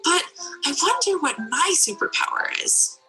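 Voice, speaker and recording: A woman voicing an eager, imaginative little girl in a children's storybook, excited and full of wonder. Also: high-pitched, normal speed, music in the background, voice pushed up, low-quality recording. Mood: wondering, hopeful.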